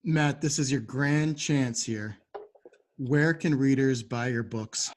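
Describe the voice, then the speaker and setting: A man's voice: mid-range voice, slow pace. A man hosting an interview podcast, asking questions and addressing listeners. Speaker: podcast host.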